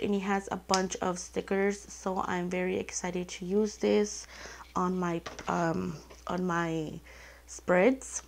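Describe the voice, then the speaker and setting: Woman vlogger, sick-sounding voice, casual rambling haul chat, mid pitch, slight background hiss. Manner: chatty, rambling, excitable with filler words. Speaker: planner vlogger. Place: indoors at a tabletop, hands only on camera.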